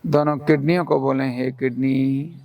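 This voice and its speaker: A man leading a guided relaxation meditation in a calm, soothing, instructional manner. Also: mid-range voice, normal speed, no room sound. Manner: calm, soothing, instructional, with gentle repeated commands.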